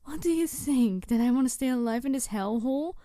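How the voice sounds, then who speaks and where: A woman playing a snarky, bitter supervillain in an ASMR audio roleplay, taunting her captive in a theatrical, deliberate way with breathy whispered touches. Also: high-pitched, mellow, dry recording, studio quality, natural voice. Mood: coldly proud, bitter.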